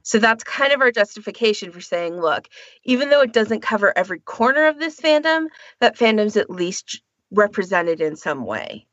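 A woman's voice: mid-range voice, big pitch swings, moderate pace, a bit bright, no room sound. A woman co-hosting a podcast, talking casually in a discussion. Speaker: podcast co-host. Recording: dull, lo-fi audio.

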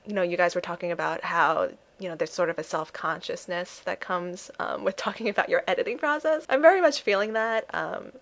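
A woman's voice: lowish voice, brisk, hardly any pauses, highs cut off. A woman in casual confessional chat, hesitant, peppering her speech with filler words. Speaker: podcast contributor in a clip.

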